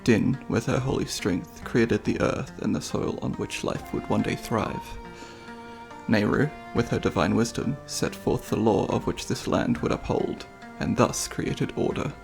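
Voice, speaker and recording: A man playing a devout, solemn monk elder in a fantasy ASMR roleplay, speaking in a measured, formal, reverent way with a breathy, creaky voice. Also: lowish voice, darkish tone, faint music behind. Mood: reverent storytelling.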